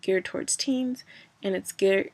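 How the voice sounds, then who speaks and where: A woman's voice: medium pitch, a little slow, darkish tone. A woman talking in a casual personal vlog, rambling with many fillers and restarts, a bit stuffy from a cold.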